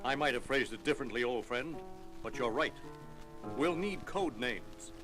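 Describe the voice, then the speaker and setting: An actor playing an earnest, commanding scientist who leads a superhero team, speaking formally in an audio drama. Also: male voice, mid-range voice, animated, moderate pace, over music, close to an everyday voice. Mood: resolute. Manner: formal, explanatory, declamatory.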